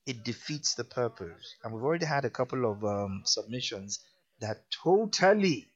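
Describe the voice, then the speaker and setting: A man's voice: medium pitch, lively intonation, normal speed. Nigerian guy hosting radio, chatty, voice jumps all over, bit muffled sound. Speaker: radio host. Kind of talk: casual on-air chat.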